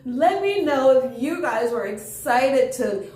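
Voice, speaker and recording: A woman reading a children's story aloud with enthusiastic, expressive delivery and chatty asides to her young listeners. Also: high voice, takes it slowly. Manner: expressive, enthusiastic, chuckles often. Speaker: storytime reader. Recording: roomy, echoing room.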